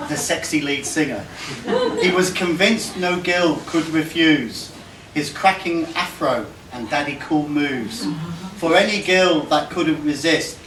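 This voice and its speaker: A man reading a comic rhyming poem aloud to a gathering, in measured lines. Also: on the high side, a bit bright. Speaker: reader of a birthday tribute poem. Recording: roomy, noisy live recording from far off.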